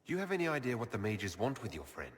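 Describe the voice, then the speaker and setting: An actor playing a steady, supportive, plain-spoken male elven leader in a video game drama. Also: mid-range voice, fast, slightly rough, no echo.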